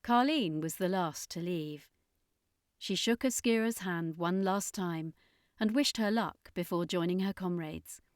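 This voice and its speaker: A woman's voice: fairly low pitch, a little slow, no room sound, studio quality. A woman reading a scripted adventure story as an audiobook narrator.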